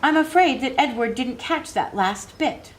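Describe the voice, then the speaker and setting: An earnest, doting ten-year-old girl from a storybook, played by a woman reader. Her voice lifts higher, and she speaks in a prim, careful, very polite way. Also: animated, a little slow.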